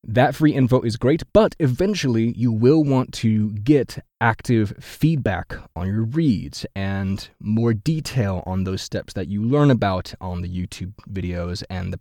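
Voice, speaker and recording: A young man with a deep baritone voice, hosting a podcast and giving chatty, self-correcting advice about getting into voiceover. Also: unhurried, a little mellow, no room sound, studio quality. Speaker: podcast host at a voiceover studio.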